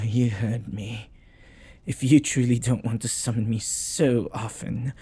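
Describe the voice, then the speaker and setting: A man voicing a possessive, devoted demon lover in an intimate audio roleplay, husky and breathy, often dropping toward a whisper. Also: medium pitch, a little mellow. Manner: slow, deliberate, dramatic pauses. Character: possessive lovestruck male demon, jealous, intense, devoted.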